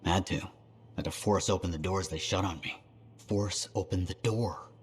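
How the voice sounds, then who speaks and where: A man's voice: low voice, fairly even intonation, normal speed, husky. An actor playing an earnest, eccentric male scholar in a video game, wordy and precise with a self-deprecating touch. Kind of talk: video game cutscene acting.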